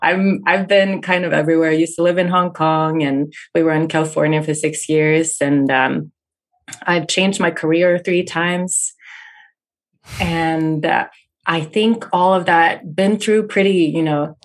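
A woman in her thirties, born in Finland and raised in Sweden, speaking English as a later language as an energetic podcast guest who laughs often. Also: deep voice, unhurried. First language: Finnish or Swedish. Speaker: real estate investor, podcast guest. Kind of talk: casual interview.

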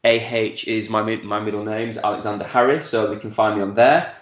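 A man's voice: lowish voice, fairly even intonation, brisk, echoey room, lo-fi sound. A man, chatting as a podcast guest and often repeating words as he thinks. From northern England. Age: 40s. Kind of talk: casual interview chat.

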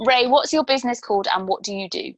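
A woman's voice: a little high, quick pace, no room sound. A woman hosting a casual interview, chatty and encouraging with her guest. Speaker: podcast host interviewing a guest.

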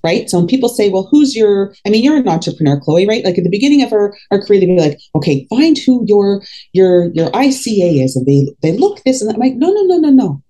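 A woman's voice: mid-range voice, big pitch swings, fast, few pauses, mellow. A woman in her fifties talking candidly in an interview, outspoken and emphatic. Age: 50s. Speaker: podcast guest, fitness coach.

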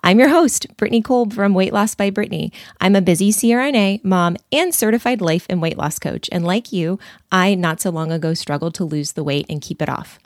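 A woman reading her podcast's scripted intro in a steady, polished way. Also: mid-range voice, fairly quick, no echo, studio quality.

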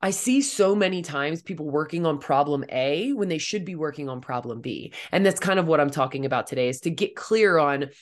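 A young woman with a raspy, somewhat deep voice, hosting her own coaching podcast in a blunt, direct, casual way. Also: expressive, fairly quick, hardly any pauses. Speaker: podcast host and life coach. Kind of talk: casual solo podcast monologue.

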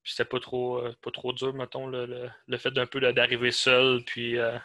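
A man with a bright, forceful voice who asks long questions that wander, full of hesitations. His tone stays even throughout. He sounds close and dry. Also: medium pitch, normal speed, dry recording. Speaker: interviewer. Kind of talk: casual interview questions.

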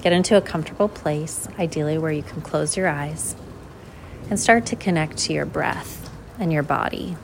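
A woman leading a guided visualization in an unhurried, measured way, leaving long pauses between short phrases. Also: low-pitched, background noise. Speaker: guided meditation host.

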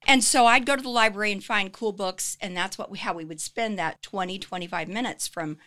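A woman in her seventies, a guest telling her life story in a lively, upbeat casual chat. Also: medium pitch, a little fast. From Kansas City area, US. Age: 70s.

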